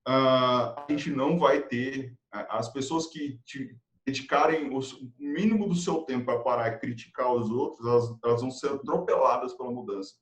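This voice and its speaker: Brazilian guy giving pep-talk advice on a live call, mid pitch, roomy echo all around. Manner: energetic, motivational, talks in quick lists. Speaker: guest consultant and speaker. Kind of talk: live video-call chat. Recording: roomy, echoey room sound.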